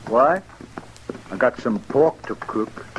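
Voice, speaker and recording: Slow-drawling frontier outlaw, noisy old radio. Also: male voice, medium pitch, animated. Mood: bickering. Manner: drawling rustic frontier speech. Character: two outlaws on the run, bickering, uneasy frontier men. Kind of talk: old-time radio western acting. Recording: noisy vintage radio recording.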